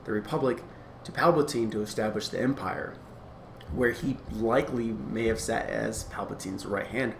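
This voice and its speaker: A man with a mid-pitched voice talks through an explainer in an informal, halting way, circling back to rephrase himself. The room echoes around him and there is clear background noise. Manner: halting, informal, rambling delivery with frequent self-corrections. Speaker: fan-theory video creator. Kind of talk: casual explainer commentary.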